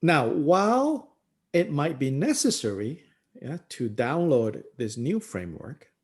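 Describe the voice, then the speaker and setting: A middle-aged man from Malaysia, a Buddhist teacher talking through ideas in a thoughtful, unhurried way, with many pauses, "you know"s and frequent chuckles. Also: on the high side, big pitch swings, darkish tone, slightly rough. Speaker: Buddhist teacher leading a book study. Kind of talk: reflective discussion talk.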